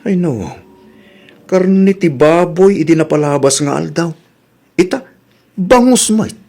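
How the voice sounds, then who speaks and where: A man's voice: on the high side, animated, measured pace, creaky, warm tone, heavily acted. A man playing a suspicious, nagging village husband with an Ilocano accent, questioning and accusatory, in a comedy audio drama. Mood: curious.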